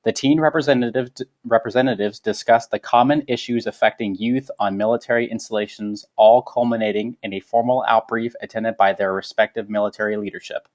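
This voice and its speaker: A man's voice: lowish voice, steady tone, a little fast, hardly any pauses, darkish tone. A man reading a formal scripted webinar presentation as its presenter. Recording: dull lo-fi webinar audio.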